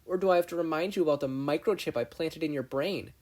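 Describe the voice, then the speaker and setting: A performer voicing a snarky, raised-pitch teenage girl. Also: fast talker, a little mellow, natural voice. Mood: snide. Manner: needling, unimpressed. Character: snarky, dismissive teenage girl inventor, dry rival.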